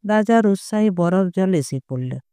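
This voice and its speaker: A woman's voice: medium pitch, lively intonation, measured pace, dark tone, clean tone, no room sound, clean studio sound. A woman reading a literary short story aloud with expression. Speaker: audio story narrator.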